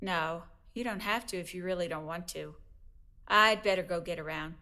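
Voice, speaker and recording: A middle-aged woman playing a caring mother of a teenage boy in an audio drama, speaking gently and coaxingly. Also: lowish voice, normal speed. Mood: gentle coaxing.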